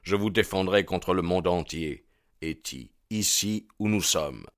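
A man's voice: sits low, lively intonation, measured pace, fairly bright tone, no room sound, studio quality. A man voicing a bold, ardent young man in an audiobook, proud and insistent in his delivery. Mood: resolute. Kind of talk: audiobook character dialogue.

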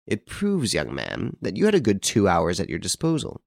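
A man voicing a confident, sly gentleman detective in an audiobook, good-humoured but emphatic. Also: low voice, wildly swooping pitch, normal speed, creaky, no room sound, clean studio sound, full character voice. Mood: pressing, accusing.

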